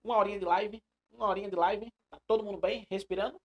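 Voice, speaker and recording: A man teaching an animated live exam-prep class, emphatic, repeating phrases and putting questions to his students. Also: high-pitched, fast, lo-fi sound. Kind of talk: animated live online lecture.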